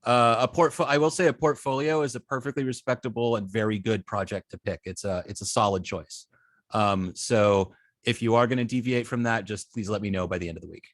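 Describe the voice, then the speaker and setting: A man teaching a class informally, speaking in a halting way and often repeating words as he thinks. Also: fairly low pitch, steady tone, no echo, studio quality. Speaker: web design class instructor. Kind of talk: informal online teaching and student check-ins.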